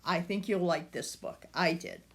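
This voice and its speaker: An older woman reading a picture book aloud to children, expressive and speaking directly to her young listeners. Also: fairly low pitch, moderate pace.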